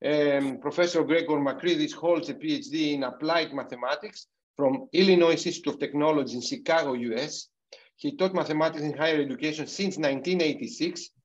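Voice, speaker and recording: A man's voice: fairly high pitch, brisk. A middle-aged man with a non-native English accent hosts an online webinar, introducing a guest with many fillers and restarts over a video call. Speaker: host of an online university webinar. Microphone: computer mic on a video call. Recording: dull, muffled video call audio.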